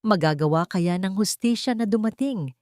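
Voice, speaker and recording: A woman's voice: medium pitch, normal speed, darkish tone, dry recording, studio quality. A woman with a Filipino accent reading a dramatic scripted audiobook story as its narrator.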